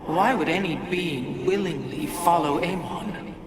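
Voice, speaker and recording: A woman voicing a haughty, pious, ancient alien keeper of history in a video game, with stern, archaic, measured speech. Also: on the low side, very noisy, lightly acted. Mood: troubled. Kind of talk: dramatic scripted game cinematic dialogue. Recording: loud cinematic music behind.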